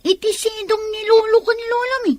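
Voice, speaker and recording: A woman's voice: very high voice, steady tone, brisk, dry recording. A woman playing a wistful young student in a radio drama, earnest and confiding.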